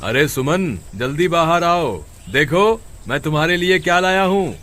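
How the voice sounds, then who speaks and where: A rather high, animated voice from a man playing a caring village farmer in a children's moral cartoon. He is earnest and expressive, with clear noise behind him. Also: measured pace. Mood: kindly, eager. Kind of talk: children's cartoon story dialogue. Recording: noisy background.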